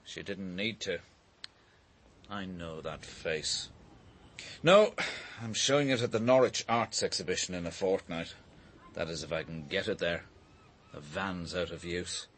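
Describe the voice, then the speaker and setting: An actor playing a proud, aggrieved painter in a radio drama, blunt and surly in his delivery, with a husky voice. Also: deep voice, a little slow, a bit bright. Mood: curt, defiant. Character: bitter, proud, aggrieved widowed painter, a father.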